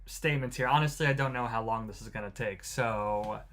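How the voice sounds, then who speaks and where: A man's voice: mid-range voice, brisk, fairly bright tone. A man hosting a live watch-along, chatting casually with viewers, joking and greeting them by name. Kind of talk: casual live-stream commentary.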